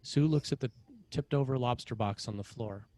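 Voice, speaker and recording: A man's voice: medium pitch, brisk, a little mellow. A man reads scripted scene directions as the narrator of a screenplay table read.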